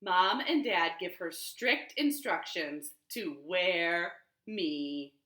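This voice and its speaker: A woman hosting storytime for young children, speaking in an animated, clearly paced way as she shares rhymes and picture books from her living room. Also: fairly low pitch, very slow. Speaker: children's storytime host at a library. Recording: roomy, echoing home living room.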